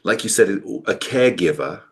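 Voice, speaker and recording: A man's voice: lowish voice, expressive, moderate pace, fairly bright tone. Upbeat man hosting a podcast. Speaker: podcast host. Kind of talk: interview podcast.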